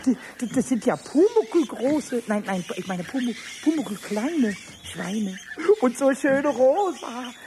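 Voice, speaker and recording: A man's voice: slow pace, few pauses, heavily acted. A man voicing a cheeky, mischievous little male kobold who giggles, stammers and chatters to himself in a 1970s radio play. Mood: gleeful. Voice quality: high, squeaky and stammering. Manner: giggling, excitable, talks to himself. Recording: noisy 1970s radio play with farm animal sounds.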